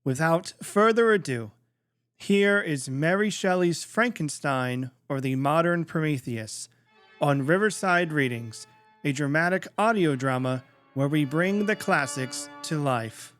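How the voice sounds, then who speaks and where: A man with a somewhat high voice hosts an audio drama podcast. He reads a prepared introduction in a presenting style, with soft plucked guitar music playing behind him. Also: expressive, measured pace. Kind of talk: scripted introduction.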